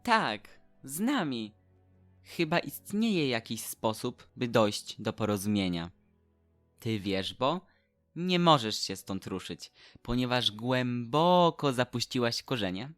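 An actor playing an earnest, halting young boy statue who makes peace, in a radio play. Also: mid-range voice, big pitch swings, dry recording, faint music behind.

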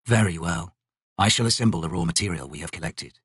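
Low, measured undead warrior played by a male narrator, terse and formal fantasy audiobook figure, dry close sound. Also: fairly bright tone, slightly rough, no echo. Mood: solemn, businesslike.

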